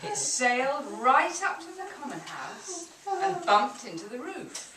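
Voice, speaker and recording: A woman telling a children's story live at a puppet show, dramatically and deliberately, inviting the audience to join in. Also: high voice, sing-song, crowd noise. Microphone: distant camcorder microphone. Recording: echoey room from far off, loud noise.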